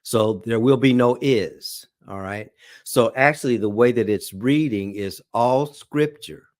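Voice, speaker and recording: A man's voice: medium pitch, no room sound, clean studio sound. A man teaching a Bible study lesson, reading scripture aloud and checking in often with "okay?" and "all right?". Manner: reads scripture aloud slowly.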